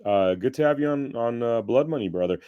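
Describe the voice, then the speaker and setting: A man's voice: mid-range voice, animated, brisk, clear, resonant tone, no echo, highs cut off. A man hosting a podcast interview who talks casually and hesitantly, with many fillers and restarts. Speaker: podcast host.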